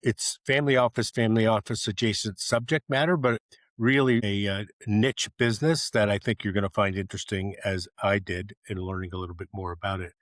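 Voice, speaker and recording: Male podcast host, casual and rambling, slightly low, dry close sound. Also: steady tone, brisk, fairly bright tone, dry recording. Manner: chatty, rambling, with false starts. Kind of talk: casual interview hosting.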